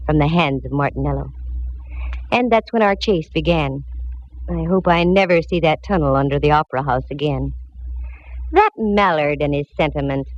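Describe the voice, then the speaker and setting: An actress playing a tough, wisecracking female private eye, narrating in brisk hard-boiled style on a 1940s radio drama. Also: on the low side, darkish tone. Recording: dull old radio broadcast with music.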